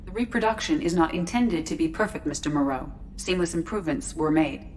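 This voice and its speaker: Shipboard AI played by a woman: calm, low, formal, precise, faint noise behind. Game role. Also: fairly quick, lightly acted. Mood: detached. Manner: detached, precise. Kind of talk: video game voice acting.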